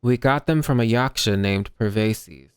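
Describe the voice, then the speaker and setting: Very low-voiced woman, casual and dry. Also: measured pace, a little hiss or hum. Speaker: gaming streamer. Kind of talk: casual playthrough commentary. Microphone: close dry microphone.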